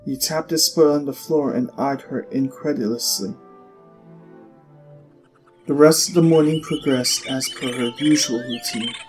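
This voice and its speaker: A man reading scripted story narration in a measured, expressive way with deliberate pauses. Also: mid-range voice, rough recording. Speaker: audiobook narrator. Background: music.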